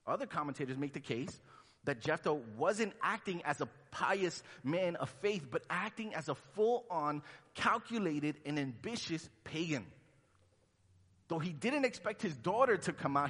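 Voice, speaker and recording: A pastor originally from Honduras preaches in a loose, conversational style and slips into the voices of the people he quotes. His voice sits a little high for a man and swings widely in pitch. A long hall reverb trails after his words. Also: fairly bright tone, slightly rough. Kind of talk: sermon.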